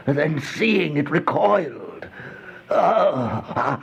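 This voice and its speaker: An actor playing a horrified man telling his nightmare in a halting, gasping, theatrical way, in an old radio horror monologue. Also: a little high, gravelly, breathy edge, full character voice. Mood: fearful disgust. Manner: halting, gasping, theatrical delivery with drawn-out dread. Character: horrified man narrating his nightmare in a plague-haunted house. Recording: muffled, noisy old radio recording.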